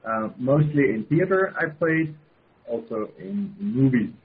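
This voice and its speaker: A man with a Swiss accent speaks over a narrow phone line, his voice very husky. He talks haltingly, restarting phrases and laughing often. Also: medium pitch, lively intonation. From Switzerland. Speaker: guest. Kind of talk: casual interview. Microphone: telephone. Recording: phone-line call-in audio.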